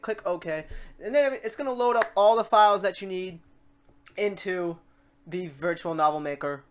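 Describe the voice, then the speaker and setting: A man hosting a casual software tutorial, talking off the cuff in an informal, chatty, rambling way and often repeating himself. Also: high-pitched. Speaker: host of a software tutorial series. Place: voice-over to a screen recording.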